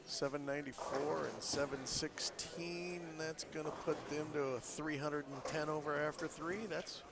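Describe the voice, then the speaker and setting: A man's voice: a little high, fairly even intonation, normal speed. A man chatting casually as a bowler on the commentary, stuffy-nosed, joking and laughing easily. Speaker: bowler joining the stream commentary. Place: bowling alley. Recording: crowd noise from a bowling alley. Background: bowling alley crowd noise.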